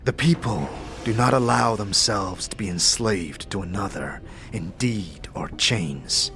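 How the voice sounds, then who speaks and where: Man acting slow, low, solemn enslaved warrior. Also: pushed and bright, exaggerated voice. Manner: grave, formal, archaic, halting phrasing. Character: weary, solemn enslaved warrior bound by oath.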